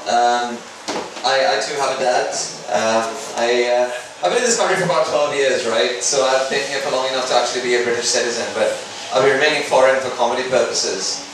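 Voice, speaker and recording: A man's voice: mid-range voice, brisk. Echoey self-deprecating male stand-up comedian. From Sri Lanka. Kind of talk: live stand-up comedy routine. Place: indoor live comedy event. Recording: very echoey room, distant mic, background noise.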